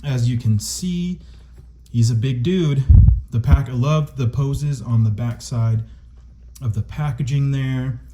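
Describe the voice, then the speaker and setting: A man recovering from a cold and a little stuffy, chatting excitedly to viewers as he unboxes and reviews a toy. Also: mid-range voice, takes it slowly, noisy background.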